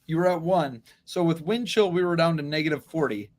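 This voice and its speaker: A man's voice: on the high side, fairly quick. An American man co-hosting a morning show, chatting casually in an easygoing, good-humored way. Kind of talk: casual chat.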